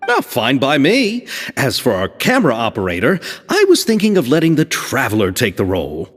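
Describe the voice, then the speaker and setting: Nervous earnest young movie maker in a game, stammery, swoopy voice, reverby with music behind. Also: male voice, medium pitch, wildly swooping pitch, moderate pace. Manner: hesitant, stammering, effusive. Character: timid, grateful, earnest young male filmmaker. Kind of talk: video game voice acting.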